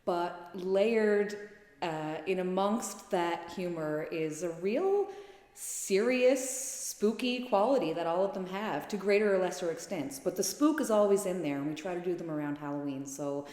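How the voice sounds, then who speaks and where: A woman's voice: fairly low pitch, animated, measured pace, darkish tone. A woman talking casually in an interview as a performer. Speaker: theatre company co-founder and performer. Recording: echoey hall.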